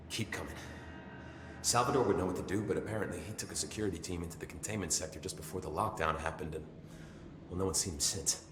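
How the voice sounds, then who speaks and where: A man's voice: deep voice, natural voice. An actor playing a weary male security chief who speaks in a dry, sarcastic, matter-of-fact way in a game cutscene. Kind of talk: video game cutscene acting. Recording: echoey, noisy room.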